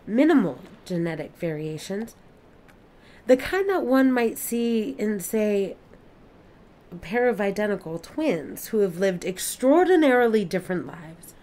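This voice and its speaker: A woman playing a careful, formal alien scientist in an audio play, speaking precisely with deliberate pauses. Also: fairly high pitch, darkish tone. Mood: careful, hesitant. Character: alien witness, careful, formal female scientist-observer of planets.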